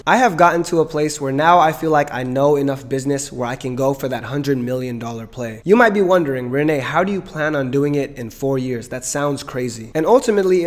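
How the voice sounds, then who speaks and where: Upbeat young guy in his twenties, a bit high-voiced, punchy and persuasive, business vlog delivery. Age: 20s. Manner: energetic, emphatic, persuasive. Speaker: entrepreneur vlogger. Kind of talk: direct-to-camera business vlog.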